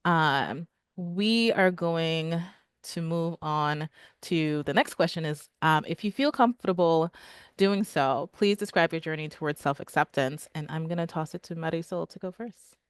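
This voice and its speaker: A woman moderates a panel in a low, smooth voice. She speaks slowly and deliberately, and the sound is dry and close. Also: no room sound.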